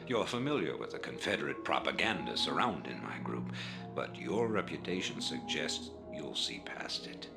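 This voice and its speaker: An actor playing a grave, persuasive rebel leader in a game briefing, measured and oratorical. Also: male voice, very deep voice, sing-song, vocal fry, over loud music, exaggerated voice. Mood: persuasive, solemn. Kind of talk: video game briefing acting.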